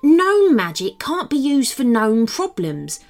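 Lady putting on a cheery boastful little gnome fella, squealy high voice, super bouncy, storybook. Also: sing-song, takes it slowly, darkish tone, soft background music, full character voice. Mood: eager persuading.

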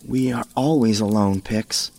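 Man as a slow, sardonic, gloomy companion. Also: lowish voice, creaky, darkish tone, a little hiss or hum. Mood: wry, ominous. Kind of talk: audio drama acting.

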